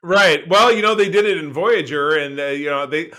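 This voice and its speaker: A man co-hosting a podcast, reviewing TV in casual chat with an enthusiastic, emphatic and halting delivery that often repeats words. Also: a little high, sharp tone, poor audio. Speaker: podcast co-host.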